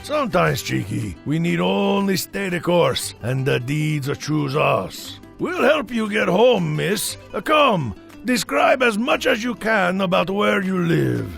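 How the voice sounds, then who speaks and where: A man's voice: sits high, sing-song, slow pace, gravelly, few pauses, a bit bright, soft background music, full character voice. An actor playing an earnest, kindly cartoon father who speaks in a solemn, courtly, dramatic way. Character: earnest, kindly father, theatrical storytelling adventurer.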